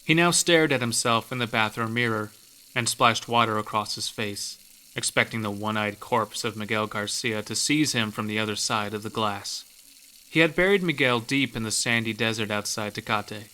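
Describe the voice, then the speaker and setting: A man narrating a scripted horror story in a dramatic, measured storytelling manner. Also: lowish voice, sharp tone.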